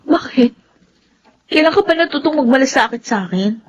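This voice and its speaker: A woman's voice: sits high, expressive, normal speed, dull audio, slight background noise. A woman with a Filipino accent acting a wronged, bitter young daughter in a melodrama. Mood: accusing, angry. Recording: loud dramatic music behind.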